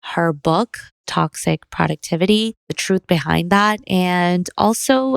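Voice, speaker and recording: A woman's voice: lowish voice, vocal fry, clean tone, no room sound, clean studio sound. A woman hosting a podcast interview, asking conversational questions in a friendly manner. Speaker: podcast host.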